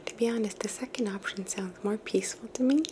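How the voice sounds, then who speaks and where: ASMR host, woman, gentle unvoiced whisper, reflective, close quiet delivery over a faint steady hiss. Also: mid-range voice, quick pace. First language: English. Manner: soft unvoiced whisper, musing and thoughtful.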